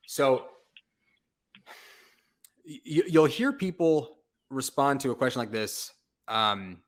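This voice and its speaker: A man with a medium-pitched voice hosts a call-in show in a loose, conversational style. He talks in informal slang, leaning emphatically on his points like someone telling a story among friends. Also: a bit bright. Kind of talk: casual chat, storytelling.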